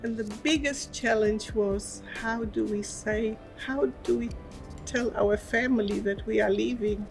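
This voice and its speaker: Woman, flat, even delivery. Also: fairly high pitch, measured pace, vocal fry. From Germany. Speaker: interviewee. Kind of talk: personal interview. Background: background music.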